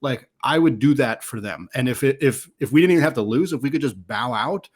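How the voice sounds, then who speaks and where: A man's voice: medium pitch, animated, fairly quick, slightly rough. A man hosting a sports podcast, analysing in casual talk that runs long and is full of qualifying asides.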